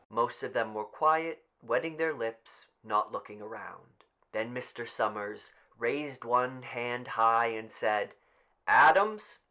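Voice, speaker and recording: A man's voice: mid-range voice, fairly bright tone. A man narrating a scripted story as an audiobook reader.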